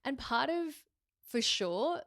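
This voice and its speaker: A woman's voice: high voice, sing-song, takes it slowly, fairly bright tone, dry recording, clean studio sound. A woman, hosting a podcast and talking through her own life in a candid, reflective way with fillers and self-corrections. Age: 30s. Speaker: podcast host. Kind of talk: reflective solo podcast talk.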